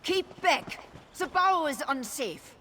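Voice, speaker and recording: A woman's voice: high-pitched, lively intonation, slow pace, a bit bright, in a large hall, slight background noise, full character voice. An actress playing a stern, determined female guard captain in a video game, with a commanding, clipped manner. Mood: stern warning. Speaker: soldier on guard. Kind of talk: video game character acting.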